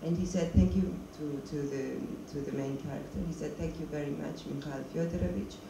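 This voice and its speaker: A woman's voice: deep voice, fairly even intonation, a little fast, gravelly. A middle-aged woman, a documentary filmmaker, answers questions on stage with a handheld mic, stopping often to search for words in English. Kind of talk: stage Q&A interview. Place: stage of a screening hall, seated. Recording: echoey hall, noisy, mic from a distance.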